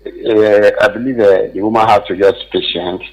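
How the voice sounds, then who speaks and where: A man's voice: fairly low pitch, fairly even intonation, moderate pace, husky, poor audio. A man with a Nigerian accent giving his opinion off the cuff as a radio caller, insistent and repetitive.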